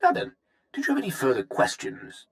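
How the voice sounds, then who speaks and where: An actor playing a smooth, evasive corporate executive in a video game, speaking in polished, wordy, formal phrases. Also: male voice, high voice, wildly swooping pitch, a little fast. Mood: businesslike. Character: smooth, evasive, self-justifying male corporate executive, schemer. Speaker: corporate research executive character. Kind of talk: video game cutscene acting.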